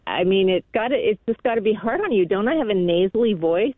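A male caller with a nasal, high voice, heard over a thin phone line. He sounds self-conscious and laughs easily. The voice is dry and close. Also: animated, quick pace, no echo. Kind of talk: casual phone chat.

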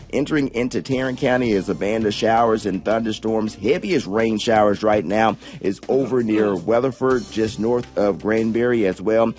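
A man's voice: lowish voice, a little mellow. A man, a meteorologist giving an upbeat, chatty weather report on radio. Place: radio studio.

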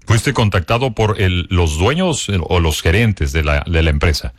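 A man's voice: low-pitched, animated, moderate pace, no echo, studio quality. A man conducting a conversational interview, affirming his guest and feeling his way through his questions.

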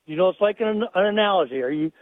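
Man, radio caller, high voice, blunt and rambling, tinny phone. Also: animated, fast, no room sound. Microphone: phone line.

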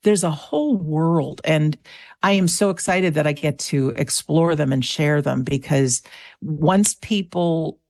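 North american lady about 60, chatting on a radio interview, keen and sincere, bit of fry, dry close sound. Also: deep voice, a little slow, a little mellow, no room sound. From small town near Niagara Falls. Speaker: interview guest, afterlife show host and author.

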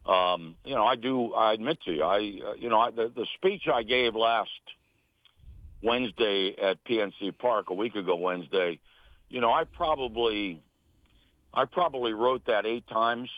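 A man's voice: lowish voice, normal speed, no echo. American man on a tinny phone line. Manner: emphatic, repeats phrases for stress. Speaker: interview guest, sports announcer and broadcasting teacher. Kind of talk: earnest conversational interview answers.

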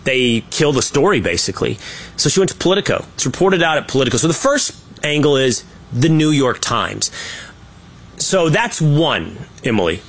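A man's voice: medium pitch, sing-song, normal speed, a bit bright. A man hosting a political talk show, giving opinionated, emphatic commentary with frequent restarts.

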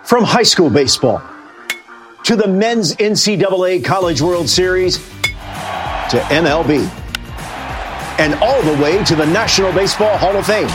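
A man's voice: high voice, lively intonation, measured pace, long pauses, music in the background. A man reading a video game commercial in a punchy hype voice. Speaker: announcer.

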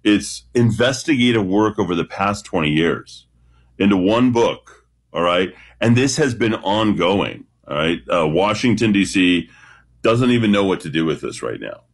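A man with a very deep, low voice talks on a late-night radio show. He sounds sure of himself and tells his story with emphasis, leaning on dramatic pauses. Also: expressive. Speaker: radio guest calling in. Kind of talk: talk radio chat.

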